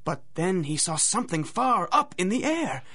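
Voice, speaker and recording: A woman's voice: on the low side, sing-song, moderate pace, very noisy. A woman narrating a scripted rhymed adventure story, with a dramatic, singsong rhythm.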